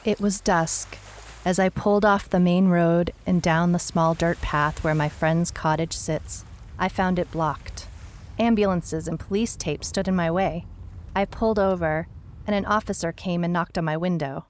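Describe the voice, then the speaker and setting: A woman playing a wry, world-weary private detective, narrating her case log in a dry, deadpan noir style. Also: fairly low pitch, moderate pace, lightly acted. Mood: dry, matter-of-fact. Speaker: narrator, lead actor.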